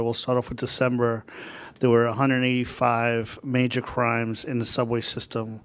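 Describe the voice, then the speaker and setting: A man giving a police crime report at a public board meeting, halting with many fillers and restarts. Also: mid-range voice.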